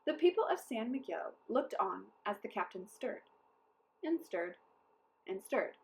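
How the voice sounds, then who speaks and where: High-pitched woman reading a children's story. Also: big pitch swings, unhurried, gravelly, a little mellow, poor audio. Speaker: read-aloud storyteller.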